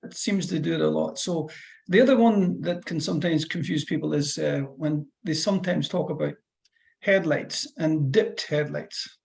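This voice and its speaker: Gravelly Scottish man from Fife. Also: on the high side, normal speed, a little mellow. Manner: chatty, rambling explanations with restarts. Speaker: driving instructor. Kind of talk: one-to-one lesson over a call.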